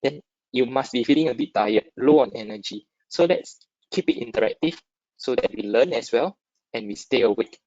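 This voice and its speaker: A man's voice: medium pitch, moderate pace, a little mellow, dry recording. A man from Singapore with a Singaporean accent presents an informal, interactive webinar, often checking in with short tag questions. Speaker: webinar speaker, stock dealer. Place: dry close online webinar. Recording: dull lo-fi online call audio.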